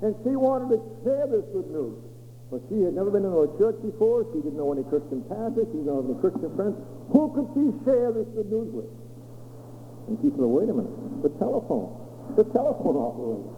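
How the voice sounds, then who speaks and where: A man with a Maine accent preaching, telling testimonies with dramatic swoops and acted-out quotes. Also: high voice. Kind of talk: sermon testimony storytelling. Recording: echoey hall over a tinny lo-fi line with faint hum.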